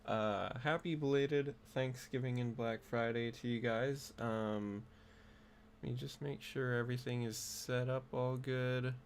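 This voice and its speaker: A man's voice: mid-range voice, steady tone, a little slow, a little hiss or hum. Stuffy-nosed man chatting while gaming. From United States. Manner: rambling, many fillers and restarts. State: stuffy nose. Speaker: streamer. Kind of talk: casual gaming stream chat.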